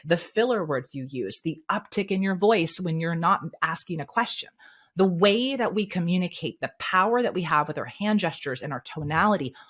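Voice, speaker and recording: A woman speaking coach, a guest on an interview show, giving candid and energetic advice. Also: lowish voice.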